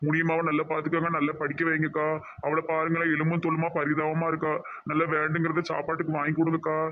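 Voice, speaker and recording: A man's voice: a little high, monotone, quick pace, slight background noise, close to an everyday voice. A man voicing a kindly, earnest young lawyer in a cartoon, advising in a southern Tamil Nadu accent, on slightly lo-fi audio. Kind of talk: cartoon dialogue in colloquial dialect.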